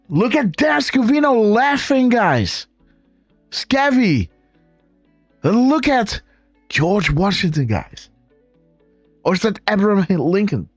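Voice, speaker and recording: A man with a Dutch accent hosting a live show, excitedly commenting on pictures and calling out to his viewers. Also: sits high, no echo. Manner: excitable, exclaiming, talks to viewers as guys. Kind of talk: casual live-stream commentary on images.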